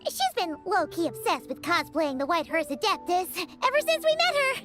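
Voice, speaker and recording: A woman voicing a tiny, excitable, boastful fairy companion in a video game, with a perky manner. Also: very high-pitched, moderate pace, exaggerated voice. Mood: eager, proud. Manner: speaks of herself in third person, perky. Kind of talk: video game character acting. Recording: game music behind the voice.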